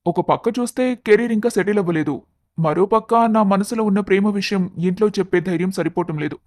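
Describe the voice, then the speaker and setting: A man acts out an affectionate but anxious young man in an animated moral story. The voice sits high for a male and sounds very dry, with an earnest, confiding delivery. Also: a little fast, no echo, natural voice. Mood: worried.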